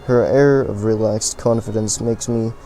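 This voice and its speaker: A man's voice: lowish voice, fairly even intonation, measured pace, dark tone, soft background music. A man reading a visual novel aloud, often stumbling and restarting words. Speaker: let's play reader.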